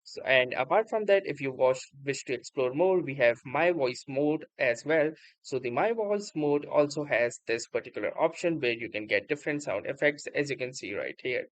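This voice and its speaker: A man presenting a casual software tutorial, speaking in a halting, slightly stumbling way with repeated stock phrases. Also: medium pitch, animated, no room sound. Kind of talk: casual screen-recording software tutorial narration.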